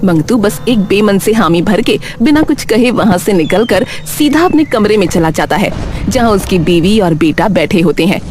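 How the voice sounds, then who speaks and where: Animated woman narrating a story. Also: medium pitch, normal speed, soft background music. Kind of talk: scripted dramatic narration.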